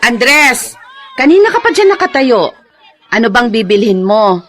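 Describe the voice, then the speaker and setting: An actress playing a chatty, good-natured market vendor in a Filipino drama, teasing and familiar. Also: female voice, on the high side, big pitch swings, a little slow, fairly bright tone, a faint crowd, exaggerated voice. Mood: teasing, friendly. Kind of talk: Filipino radio-style drama acting.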